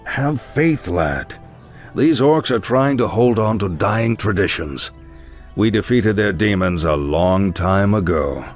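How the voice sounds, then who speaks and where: Old paladin commander, low voice, stern, fatherly, measured, fantasy game. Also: animated, faint music behind. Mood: reassuring.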